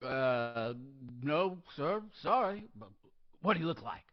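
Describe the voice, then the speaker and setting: A man at a live table read playing a helpful, deferential janitor who speaks haltingly and politely. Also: a little high, lively intonation, highs cut off. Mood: puzzled, concerned.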